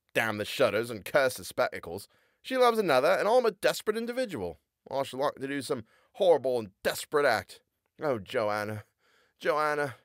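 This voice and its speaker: A man's voice: fairly high pitch, no room sound, clean studio sound, pitched above the performer's own voice. A man reading aloud and voicing a lovesick, overwrought young man who speaks theatrically.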